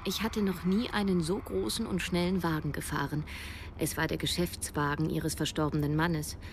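A woman's voice: deep voice, fairly even intonation, moderate pace, noisy background. An actress playing a broke, self-doubting writer in her thirties, narrating a radio drama in the first person. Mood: reflective. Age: 30s. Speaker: narrator and lead.

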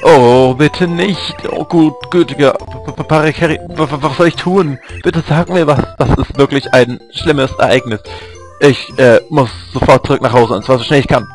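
A man voicing an excitable old male turtle explorer from a video game, blustering and flustered, with a raised voice. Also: medium pitch, moderate pace, soft background music, voice pushed up, lightly acted, poor audio. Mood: panicked.

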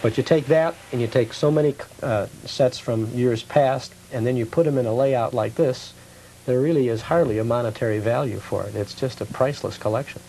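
A man hosts an interview in a conversational style. His voice is mid-pitched and soft-edged in tone, with slight noise in the background. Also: fairly quick, creaky, poor audio. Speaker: TV show host interviewer.

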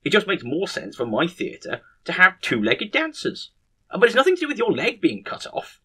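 A man's voice: sits high, wildly swooping pitch, moderate pace, bright, forceful tone, husky, voice pushed up, exaggerated voice. A man voicing an evasive, self-justifying theatre manager, dismissive and blustering, in a comic story. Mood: defensive.

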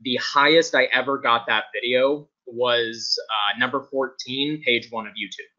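Male webinar guest, casual testimonial, very bright and tense tone, voice starting to give out, lo-fi sound. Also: medium pitch, fairly even intonation, unhurried. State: starting to lose his voice, often clearing his throat. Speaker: guest user giving a testimonial. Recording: dull, lo-fi webinar audio.

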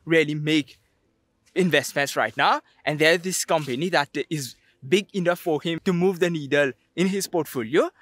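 Young man explaining, slightly high voice. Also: moderate pace, a bit bright, no room sound, studio quality. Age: young adult. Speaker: investing video presenter. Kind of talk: explainer to camera. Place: outdoors on a sandy beach.

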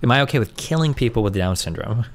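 A man's voice: mid-range voice, expressive, fast talker. A man in his thirties, a streamer debating from his home setup, talking down to his opponent. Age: 30s. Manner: condescending, testing principles. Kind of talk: heated debate. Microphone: studio mic on arm.

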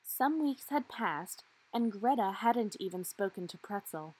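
A woman's voice: mid-range voice, lively intonation, measured pace, mellow, husky, rough recording. A woman reading a children's storybook aloud expressively, with dramatic emphasis on key words. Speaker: storybook reader.

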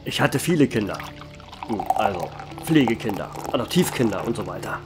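A man playing a centuries-old vampire who runs a bar, telling his story in a calm, measured way. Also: on the low side. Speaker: narrator and bar owner. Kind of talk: fan audio drama narration. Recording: music bed under the voice.

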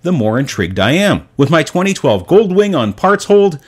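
Lively male motorcycle narrator. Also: a little high, normal speed. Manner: animated, wry storyteller. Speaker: motorcycle restoration YouTuber. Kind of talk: scripted voice-over narration.

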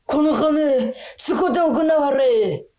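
A woman's voice: high voice, takes it slowly, dark tone, a little hiss or hum. An actress playing a girl who poses as a canny old money-lending tycoon, pompous and drawling in old-fashioned Kansai speech. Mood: grand, generous. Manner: pompous, drawling merchant talk. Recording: music bed behind the voice.

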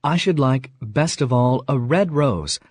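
The kind, brave youngest girl in a bedtime storybook, earnestly soothing her father in a raised, gentle voice, put on by a male narrator. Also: mid-range voice, animated, unhurried, breathy edge, no echo, clean studio sound, pitched above the performer's own voice. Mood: gentle, hopeful.

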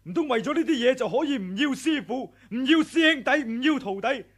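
An actor playing an earnest, wronged young disciple in a Hong Kong Cantonese radio drama, speaking in a husky, pleading, reproachful way. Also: male voice, very high-pitched, lively intonation, fairly quick, heavily acted. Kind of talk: 1980s radio drama acting.

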